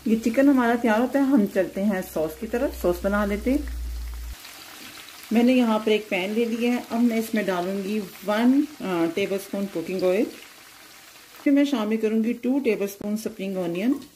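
A woman hosting a cooking channel, giving calm, plain step-by-step recipe instructions over her cooking. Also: mid-range voice, moderate pace, slight background noise, low-quality recording.

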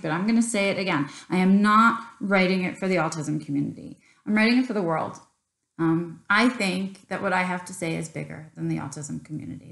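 A woman in her thirties from Queens with a New York accent, talking candidly to camera in an unscripted vlog, with many ums and a passionate streak. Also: mid-range voice. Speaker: vlogger. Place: small room indoors, plain backdrop. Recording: roomy echo.

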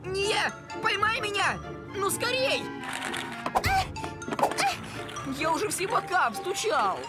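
A woman's voice: very high voice, big pitch swings, normal speed. A woman voicing a cocky, cheerful, impatient boy kitten hero in a children's cartoon, brash and full of exclamations. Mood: brash, playful. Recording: loud music behind.

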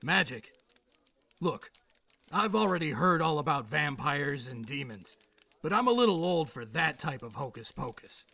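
Radio drama: tough skeptical policeman male actor, forceful gravel, dismissive delivery. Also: on the high side, very animated, moderate pace, full character voice. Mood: skeptical, impatient. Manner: gruff, dismissive.

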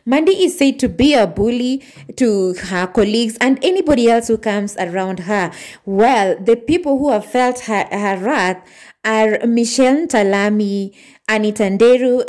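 A woman's voice: on the high side, unhurried, darkish tone. A woman narrating celebrity gossip as a channel host, reading posts aloud with frequent fillers and restarts. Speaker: entertainment news narrator.

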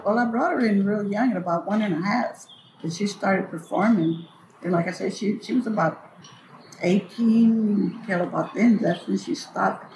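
A woman's voice: a little high, a little mellow. Older woman reminiscing in a noisy, echoey space. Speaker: storyteller guest, grandmother. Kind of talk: casual reminiscing. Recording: echoey space with background noise.

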